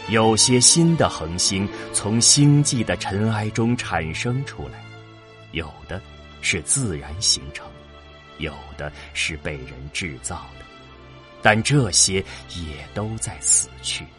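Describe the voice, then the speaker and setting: Low voiced guy narrating sci-fi, solemn and slow, music behind him. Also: sing-song. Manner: solemn, deliberate. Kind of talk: dramatic scripted science fiction narration.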